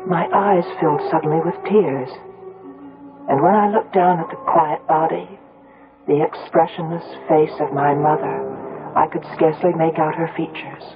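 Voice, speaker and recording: A bereaved, reflective woman recounting her life in 1970s radio drama. Her phrasing is measured and literary. The old broadcast is thin and phone-like, with clear music behind it. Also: low voice, steady tone. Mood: sorrowful, reflective. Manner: measured, literary first-person storytelling. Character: Una, grieving, reflective grown daughter telling her story. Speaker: lead actress and narrator.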